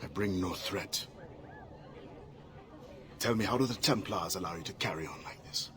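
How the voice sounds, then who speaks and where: An actor playing a principled male assassin captain who once fled slavery, speaking gravely and with command. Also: low-pitched, normal speed, sharp tone. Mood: wary.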